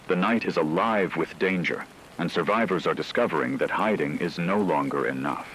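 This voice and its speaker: A man playing a grave radio newsman, delivering a scripted emergency report in a measured announcer's manner. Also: sits low, a little hiss or hum, rough recording. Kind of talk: scripted fictional radio news narration.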